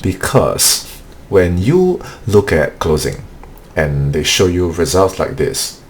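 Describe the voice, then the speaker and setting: A low-voiced man leads a motivational live-stream training for remote sales closers. He talks earnestly and repeats himself, dropping "okay" between points. A faint hum sits under the voice. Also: very animated, slow. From Singapore. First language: not English. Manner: earnest, repetitive, peppers speech with "okay".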